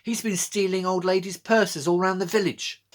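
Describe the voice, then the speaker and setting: Man voicing an eager, higher-pitched plucky schoolgirl. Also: fairly even intonation, normal speed, close to an everyday voice. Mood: shouting, urgent. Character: plucky young schoolgirl detective, eager and earnest. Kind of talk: children's storybook reading.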